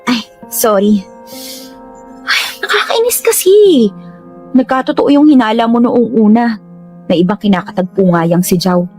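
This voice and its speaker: A woman's voice: on the high side, animated, moderate pace, pauses often, a little mellow, soft background music. A woman playing a dramatic, exasperated young adult daughter with a Filipino accent, emphatic and slangy, in a radio drama. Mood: indignant.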